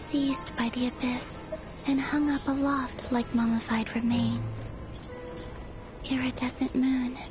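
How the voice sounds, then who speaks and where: A woman playing a solemn, mysterious and mournful video game character with a breathy, hushed delivery. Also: fairly high pitch. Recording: dull lo-fi game audio. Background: game music.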